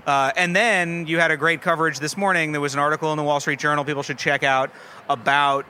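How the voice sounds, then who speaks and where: A podcast host interviews a craftsman at a festival, friendly and talkative, building each question with context. His voice is a touch high and bright-toned. A faint hum of ambience sits behind him. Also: steady tone, fast talker. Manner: chatty, affirming, asks long lead-in questions. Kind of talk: casual interview. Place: outdoor food festival.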